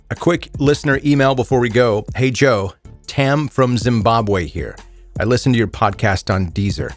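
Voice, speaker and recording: A man hosting a true crime podcast, reading scripted narration with casual asides. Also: lowish voice.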